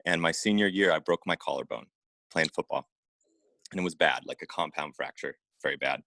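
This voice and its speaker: Low-voiced man telling his story casually. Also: fairly quick, creaky, bright, forceful tone, no echo. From Oregon, United States. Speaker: interview guest, acupuncturist and massage therapist. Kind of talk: casual personal storytelling in an interview.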